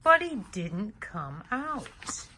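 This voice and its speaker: Woman reading as a very animated, indignant storybook giant. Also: medium pitch, background noise. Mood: aggrieved, sly. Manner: exclamatory, indignant, deliberate storyteller. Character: big hungry aggrieved male giant telling his side. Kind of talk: expressive children's storybook read-aloud.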